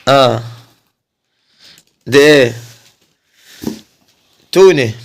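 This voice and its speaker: A man's voice: medium pitch, drawn-out words, gravelly. A man with an Egyptian accent chatting casually to viewers as a family vlogger, often repeating himself and addressing them directly.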